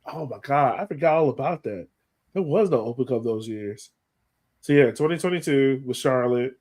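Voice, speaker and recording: An American man talking as a podcast host in casual soccer chat, like an animated fan full of exclamations. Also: mid-range voice, normal speed.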